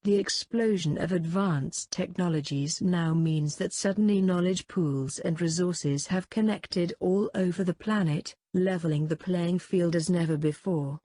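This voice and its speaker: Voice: medium pitch, unhurried, few pauses, warm tone, no room sound. A reader delivering a practice passage aloud in a scripted style.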